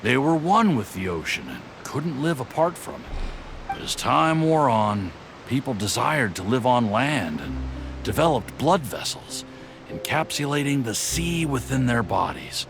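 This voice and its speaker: A man's voice: medium pitch, very animated, a little slow. An actor playing an easygoing, dreamy, philosophical townsman in a video game, musing like a storyteller. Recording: music clearly under the voice.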